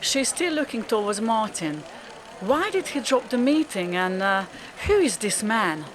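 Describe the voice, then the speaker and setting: A woman's voice: fairly high pitch, big pitch swings, a little slow, a little hiss or hum. A woman reading scripted, descriptive narration for a radio drama.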